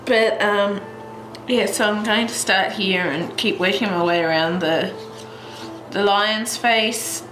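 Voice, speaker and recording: A woman's voice: mid-range voice, slow pace, music in the background. A young Australian woman with a stuffy, congested voice, rambling casually through a colouring tutorial at home, with lots of fillers. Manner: rambling, with many fillers and restarts. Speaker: colouring channel host. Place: at home, in front of a heater. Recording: echoey room with background noise.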